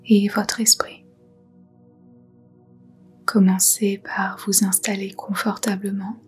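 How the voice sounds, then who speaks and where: A woman softly guiding a meditation in a breathy, whispery voice, soothing and unhurried. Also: mid-range voice. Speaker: yoga nidra guide. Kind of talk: guided meditation, soft whispered narration.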